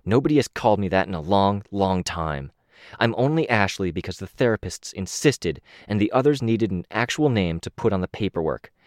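A man's voice: low-pitched, animated, brisk, dry recording, clean studio sound. A man reading an audiobook, voicing a cold, menacing young woman who used to kill people, with a hard, clipped voice.

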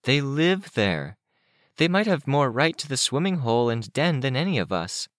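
A man's voice: medium pitch, big pitch swings, moderate pace, dry recording, clean studio sound, voice pushed up, lightly acted. A man voicing a blunt, needling teenage girl who scolds and teases in an audiobook story. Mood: firm. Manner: scolding, teasing.

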